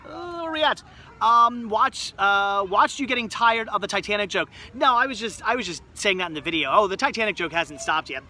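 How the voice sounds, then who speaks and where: Casting: man, late thirties, high bright voice, rambling self-mocking livestream host, faint outdoor noise. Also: animated, a little fast. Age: 30s. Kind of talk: casual livestream chat reading comments. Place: outdoors at a resort.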